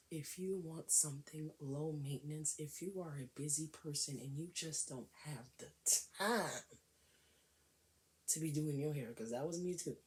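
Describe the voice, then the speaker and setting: A woman with a raspy, cold-worn voice chatting casually to camera in a hair vlog, often dropping into a whisper. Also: deep voice, a little mellow. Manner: chatty, emphatic, with frequent whispery stretches. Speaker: hair vlogger.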